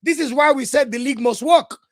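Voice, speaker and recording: A man's voice: very high-pitched, brisk. A young man with a Nigerian English accent hosts a football talk show, reads viewer comments aloud and rants passionately, sometimes slipping into pidgin. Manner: passionate, ranting, switches into pidgin. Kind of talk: casual commentary reacting to live comments.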